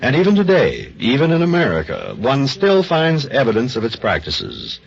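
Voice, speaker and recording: A man's voice: medium pitch, wildly swooping pitch, normal speed, vocal fry, breathy edge. A man introducing a radio mystery in a dramatic, emphatic way. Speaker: announcer. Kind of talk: scripted dramatic narration. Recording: dull old radio recording.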